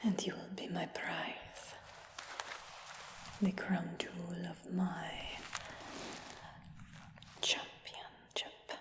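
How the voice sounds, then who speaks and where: A woman playing a smug, doting human dragon hunter in a fantasy ASMR roleplay, whispering in a coaxing, gently boastful way. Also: lowish voice, level tone, very slow, long pauses. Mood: proud, teasing. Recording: echoey whisper with long cave-like reverb.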